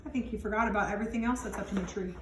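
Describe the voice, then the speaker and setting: A woman reads a picture book aloud to children in an animated way, stretching words for emphasis and adding chatty, wondering asides. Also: mid-range voice, very fast. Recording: echoey room with some background noise.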